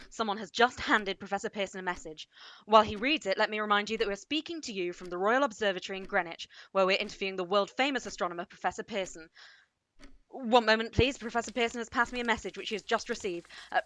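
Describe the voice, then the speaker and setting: An actress playing a female radio reporter in a radio play, briskly announcing and getting flustered at a strange scene. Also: mid-range voice, a bit bright, lightly acted, rough recording. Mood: poised. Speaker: radio commentator. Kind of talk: amateur radio play acting.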